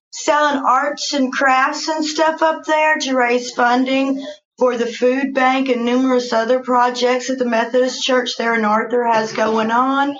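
A woman with a Southern drawl speaks slowly and unhurriedly, her voice sitting a touch high. She tells her story in a relaxed, chatty way, with plenty of "um"s along the way. The sound is a little dull and lo-fi. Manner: slow, warm storytelling with fillers. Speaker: guest. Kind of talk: casual interview.

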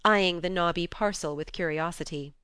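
Woman reading an audiobook story, lowish smooth voice, really dry quiet recording. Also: moderate pace, no room sound. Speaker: audiobook narrator. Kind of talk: scripted literary narration.

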